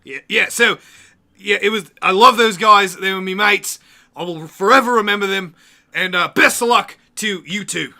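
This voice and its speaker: Man doing a hearty high-pitched dingo wrestler. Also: moderate pace, vocal fry, pushed and bright, exaggerated voice. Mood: fond. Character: boisterous male dingo-wrestling outdoorsman, fondly sentimental. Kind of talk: improvised comedy eulogy.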